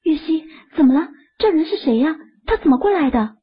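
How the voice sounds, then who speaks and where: Man doing a worried young woman, falsetto. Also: lively intonation. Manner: quick, worried questioning in falsetto. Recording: muffled lo-fi audio.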